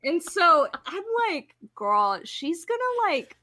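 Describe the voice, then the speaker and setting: A woman's voice: extremely high pitch, big pitch swings, takes it slowly, sharp tone. A woman co-hosting a podcast, chatting informally and candidly with a giggly manner. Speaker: returning podcast co-host. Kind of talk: casual chat.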